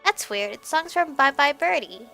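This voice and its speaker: Young female gaming host, fairly high and very bright voice, giggly casual commentary over music. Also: lively intonation, normal speed. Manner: giggly, chatty. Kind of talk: casual let's play commentary, reading lines aloud. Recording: music behind the voice. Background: game music.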